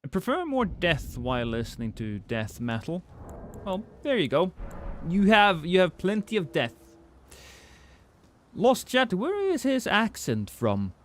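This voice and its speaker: A man's voice: sits high, wildly swooping pitch, measured pace, a little hiss or hum. A Norwegian man with a slightly British-tinged accent, chatting casually while streaming a game and reading chat, often restarting sentences. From Norway. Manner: chatty, self-deprecating, many restarts. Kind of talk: casual gameplay livestream chat.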